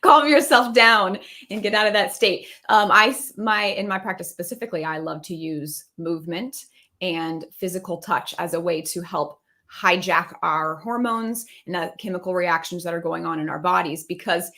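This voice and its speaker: A woman's voice: on the low side, moderate pace, pushed and bright. A woman around her thirties speaking conversationally as a couples coach on a live video call. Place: indoor room, video call.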